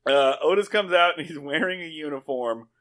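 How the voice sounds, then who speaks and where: A man's voice: fairly high pitch, moderate pace, fairly bright tone. Man casually recapping TV, swearing freely. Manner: profane, acts out dialogue in paraphrase. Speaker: podcast co-host. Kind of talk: casual comedic recap chat about a TV show.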